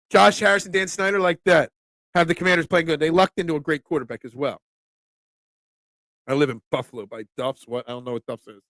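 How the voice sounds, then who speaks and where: A man's voice: high voice, sharp tone, dry recording. A young man with a Philadelphia accent hosting a casual sports talk stream, chatting loosely and answering viewers by name. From Philadelphia area. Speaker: sports talk show host.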